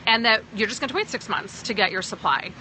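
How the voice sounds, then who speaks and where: A woman's voice: medium pitch, animated, fairly quick, pushed and bright, slight background noise, poor audio. A woman from Pakistan who sounds American, talking to viewers on a phone livestream as a sales team leader, emphatic and often checking in with "okay?". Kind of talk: livestream talk answering viewers.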